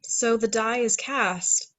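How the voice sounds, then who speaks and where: A woman plays an assured female saint with a fairly high voice. She declaims in a lofty, formal way, reading lines from a stage play. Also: slow, a bit bright. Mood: solemn. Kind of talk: virtual table read of a play.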